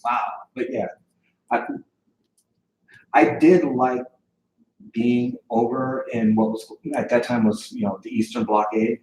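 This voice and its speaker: A man's voice: medium pitch, fairly even intonation, takes it slowly, long pauses, a little mellow, echoey room, a little hiss or hum, low-quality recording. A man from East Germany talking casually as a radio show host, blunt and swearing freely. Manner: blunt, swears casually, runs the studio gear. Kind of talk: casual chat.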